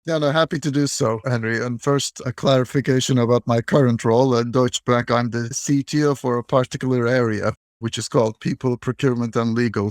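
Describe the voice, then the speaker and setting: A middle-aged man from Turkey speaks at a middle pitch with a gravelly, creaky edge. He answers interview questions in a measured, explanatory way. The recording is dry and close, with no room sound. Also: no echo. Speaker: guest, bank technology executive.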